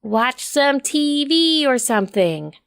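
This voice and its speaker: A woman's voice: sits high, sing-song, slow pace, gravelly, fairly bright tone, voice pushed up, exaggerated voice. A woman voicing a laid-back, blunt young DJ pony mare with a casual, slangy way of talking. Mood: casually coaxing.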